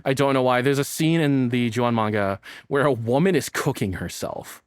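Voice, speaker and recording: A man's voice: medium pitch, moderate pace, clean tone, no room sound. A man giving casual, rambling reaction commentary as a streamer, swearing freely, on a close mic. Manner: profane, rambling, expressive.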